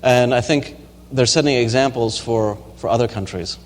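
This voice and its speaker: A man's voice: mid-range voice, normal speed. A man lecturing at a university and answering audience questions, with frequent ums and restarts. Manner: hesitant, many ums and restarts. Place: lecture hall. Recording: reverberant lecture hall recording.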